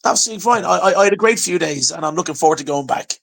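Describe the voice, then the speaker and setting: An Irish man chatting as a podcast guest, telling stories in an animated way and often restarting his words. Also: sits high, rapid-fire, creaky. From Ireland. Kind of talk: casual chat.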